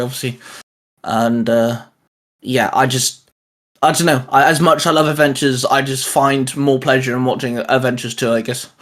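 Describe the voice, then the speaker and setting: A man's voice: mid-range voice, a bit bright. A man co-hosting a casual fan discussion, talking in a rambling, informal, jokey way. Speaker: co-host of a cartoon fan channel. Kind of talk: casual chat, fan commentary.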